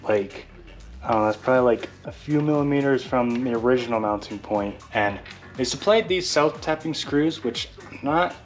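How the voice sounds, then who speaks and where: A man's voice: medium pitch, moderate pace. A man giving a casual, unscripted how-to explanation as a vlogger, in a loose, informal and slightly hesitant way. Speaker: vlogger presenting a DIY tutorial. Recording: very echoey, distant mic, faint music.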